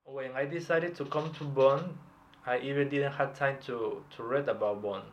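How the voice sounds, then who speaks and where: A man's voice: mid-range voice, steady tone, moderate pace, dull audio. Man, 30s, from Peru, interview guest, reflective, hesitant, faint background noise. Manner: reflective, hesitant, with light laughs. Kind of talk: casual personal interview.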